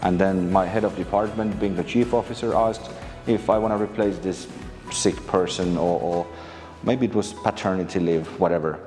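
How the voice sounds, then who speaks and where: A man in his thirties from Finland, a maritime lecturer answering interview questions in a relaxed way, with frequent fillers and restarts. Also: deep voice, moderate pace, big-room sound, faint music behind. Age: 30s. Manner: hesitant, many fillers and restarts.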